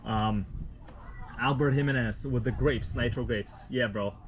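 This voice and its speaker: Russian-born guy hosting a live stream outside at night, chill and rambly, calls people bro, mid-pitched voice. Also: unhurried, low-quality recording. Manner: laid-back, slangy, rambling with fillers. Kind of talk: casual live stream chat, reading viewer comments. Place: outdoors at night.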